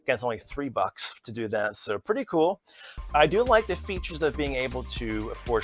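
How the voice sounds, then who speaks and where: A man's voice: medium pitch, fairly bright tone. A man hosting an app review show, giving a casual, chatty tutorial. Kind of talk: upbeat app tutorial narration.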